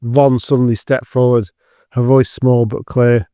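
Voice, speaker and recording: A man's voice: medium pitch, little pitch movement, a little slow, dark tone, clean tone, no room sound, clean studio sound. A man reading scripted dramatic narration as the narrator of an audio drama.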